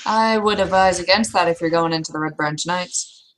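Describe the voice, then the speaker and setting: Woman playing a blunt, world-wary troll mentor, lowish voice. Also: moderate pace, fairly bright tone, a little hiss or hum, lightly acted, poor audio. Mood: blunt, cautioning. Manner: blunt, informal, advising. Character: opinionated, world-wary elder troll mentor, advising a newcomer. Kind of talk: improvised in-character roleplay dialogue.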